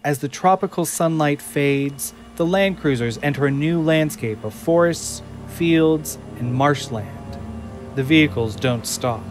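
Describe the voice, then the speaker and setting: Measured male narrator, faint music behind. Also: a little high. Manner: measured, descriptive storytelling. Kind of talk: scripted narration of an audio drama.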